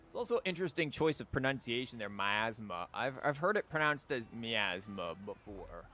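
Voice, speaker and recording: Guy streaming a game, rambly wry commentary, some music quietly behind him. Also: mid-range voice, very animated, moderate pace. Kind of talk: casual live game commentary.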